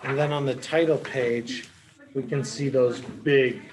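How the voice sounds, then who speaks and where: A man reads a storybook aloud for small children. His pace is slow, with deliberate pauses. He explains in short, simple phrases, like a teacher. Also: mid-range voice, fairly even intonation, background noise. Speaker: children's storybook reader, early education teacher.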